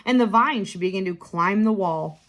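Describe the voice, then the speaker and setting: A woman's voice: mid-range voice, normal speed, fairly bright tone, lightly acted. A woman voicing a kindly, patient old grandfather in a storybook read-aloud. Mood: gentle, patient.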